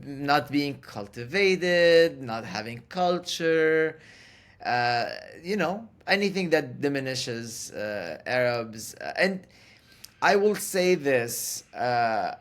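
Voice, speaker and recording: A man's voice: mid-range voice, animated, slow, fairly bright tone. A middle-aged man from Lebanon, an interview guest who speaks candidly and repeats phrases for emphasis.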